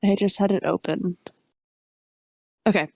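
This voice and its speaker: A woman's voice: mid-range voice, fairly even intonation, measured pace, gravelly, a little mellow, no echo, clean studio sound. Giggly teen girl on voice chat. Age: teens. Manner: giggly, teasing. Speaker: co-host friend on voice chat. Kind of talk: casual chat. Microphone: voice chat mic.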